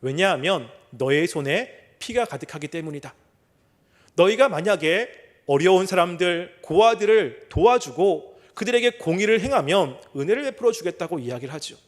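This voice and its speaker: A middle-aged male pastor giving a sermon. He asks rhetorical questions and reads scripture slowly and deliberately. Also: sits high, animated. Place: church sanctuary.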